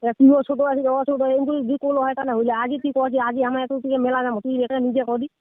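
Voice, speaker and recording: A young man with a North Bengal accent argues casually on a call. His pitch is strikingly high and his words come quickly. The line is thin and tinny. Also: level tone, no room sound. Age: teens to young adult. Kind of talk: casual, argumentative phone chat. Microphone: phone call. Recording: tinny phone-line sound, dry.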